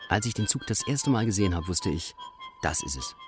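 Very low voice of an awed traveller. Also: female voice, big pitch swings, brisk, over music. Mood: hushed, awed. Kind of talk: radio play narration, reminiscing.

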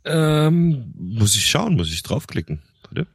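A man's voice: mid-range voice, very animated, slow, creaky, breathy edge, no room sound, studio quality. A man hosting a casual podcast chat, laughing often and tripping over his own words. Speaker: podcast host.

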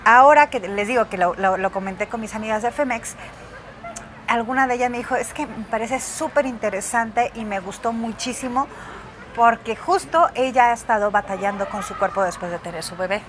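A chatty Mexican woman co-hosting a podcast, with a somewhat high voice. She rambles through her recommendations with a steady stream of fillers and restarts. A faint hiss sits under her voice. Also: a little fast. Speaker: podcast co-host recommending films and series. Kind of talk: casual chat.

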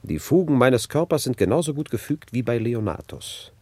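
An actor playing an arrogant, boastful young villain prince in a classical radio play, pompous and blustering. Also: male voice, mid-range voice, lively intonation, normal speed, dark tone, no room sound, natural voice. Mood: smug, scheming.

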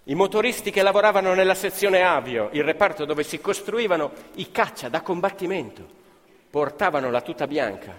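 A man's voice: sits high, expressive, brisk. A man telling a scripted story as a stage narrator in radio theatre, with a dramatic, emphatic delivery. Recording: live violin and music behind.

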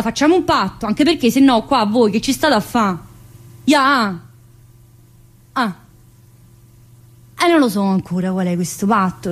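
An actress playing a restless, needy, brash woman prisoner in a theatrical monologue, speaking colloquial, dialect-laced Italian with a southern accent. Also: high-pitched. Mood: insistent, pleading. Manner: colloquial, dialect-laced, addressing an unseen teacher.

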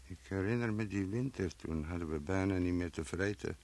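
An actor playing an earthy gravedigger in a radio play, muttering and musing to himself about the dead. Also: male voice, low voice, fairly quick, darkish tone. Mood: wondering, brooding.

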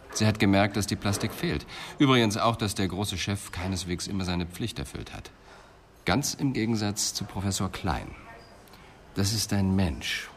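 A man's voice: sits low, a little hiss or hum. A man playing a pensive, dryly ironic police detective in a radio crime drama, speaking in a thoughtful, clipped way.

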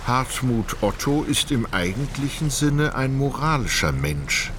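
A man's voice: mid-range voice, big pitch swings, unhurried, noisy background. A man narrating a literary radio play in an articulate, ironic storytelling manner.

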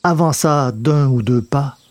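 A man narrating a scripted dramatic story in a measured, literary delivery. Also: medium pitch, darkish tone. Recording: noticeable background noise.

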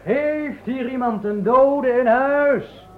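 A man in a radio play voicing a wandering fish hawker calling out loudly in long drawn-out cries. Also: extremely high pitch, slight background noise. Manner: loud drawn-out street calling. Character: itinerant fish hawker crying his wares. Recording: tinny, echoey old radio recording.

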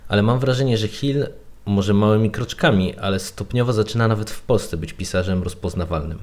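A man's voice: on the low side, fairly quick, a little mellow. A man speaks as a podcast guest. He delivers his opinion as prepared commentary.

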